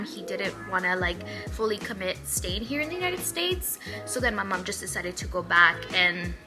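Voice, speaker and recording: Chatty woman in her twenties. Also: mid-range voice. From Mexico. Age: 20s. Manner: chatty, rambling, upbeat. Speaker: hairstylist vlogger. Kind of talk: casual Q&A vlog.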